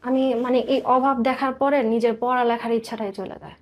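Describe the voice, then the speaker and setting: Higher-voiced Bangladeshi woman telling her story. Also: fairly even intonation, brisk. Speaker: guest telling life story. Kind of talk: personal storytelling in a radio interview.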